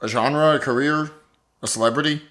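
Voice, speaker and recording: Higher-voiced male improv podcast co-host. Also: a little fast, fairly bright tone. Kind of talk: casual improv podcast banter.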